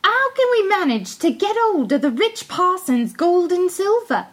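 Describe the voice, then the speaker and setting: A woman's voice: very high voice, very animated, a little slow, pitched above the performer's own voice, exaggerated voice. Woman doing a pair of nervous thieves. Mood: furtive. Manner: hushed, nervous. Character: two furtive adult male thieves.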